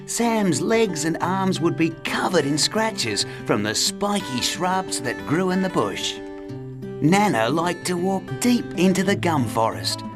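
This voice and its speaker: Woman storyteller, unhurried pace, very varied pitch, children's narration, plucked guitar music behind.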